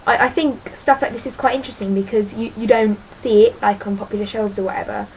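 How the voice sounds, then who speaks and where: A woman's voice: mid-range voice, fairly even intonation, fast talker, darkish tone, a little hiss or hum, low-quality recording. A teenage girl chatting casually about books in a vlog, rambling and hesitant, full of ums and sort-ofs, self-deprecating. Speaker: book vlogger.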